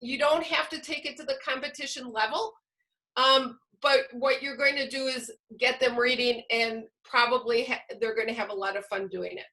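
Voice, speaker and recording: A woman's voice: a little high, fairly even intonation, moderate pace, bright, forceful tone, low-quality recording. A woman presenting informally to teachers as a trainer over a video call, explaining things and posing questions that she then answers. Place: online video meeting. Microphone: video call microphone.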